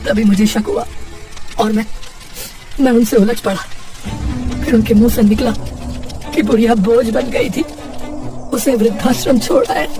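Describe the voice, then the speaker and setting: A high, youthful cartoon voice for a wronged grandson performed by a woman. He speaks with hurt intensity, stumbling and repeating phrases. The sound is slightly distant, with clear music behind. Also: fairly even intonation. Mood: grieving, bitter. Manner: emphatic, halting, repeats phrases. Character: grieving, furious young grandson confronting his cruel father. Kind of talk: dramatic cartoon voice acting.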